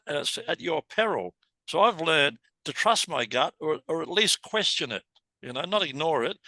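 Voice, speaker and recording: A man's voice: a little high, creaky, pushed and bright, no echo. A man, hosting an interview over a video call and talking chattily to the listeners. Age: 70s. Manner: chatty, keeps addressing listeners as guys. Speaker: host. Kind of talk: casual interview.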